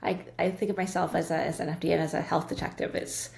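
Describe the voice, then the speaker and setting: A middle-aged woman, a nutrition practitioner, talking as a guest in a casual interview, quick and earnest with frequent restarts. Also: fairly low pitch, level tone, creaky, a little mellow.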